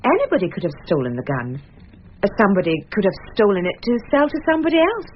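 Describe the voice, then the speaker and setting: A woman's voice: mid-range voice, wildly swooping pitch, fast talker. An actress playing a troubled woman in a radio drama, hesitant and defensive under questioning. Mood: defensive, anxious. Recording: dull, hissy radio drama recording.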